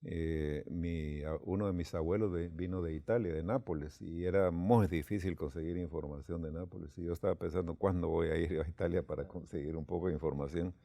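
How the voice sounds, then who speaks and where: A man's voice: deep voice, fairly even intonation, a little fast, gravelly, few pauses, dark tone, dry recording. A man from Guatemala, telling a personal story unhurriedly with quoted dialogue, as a church leader.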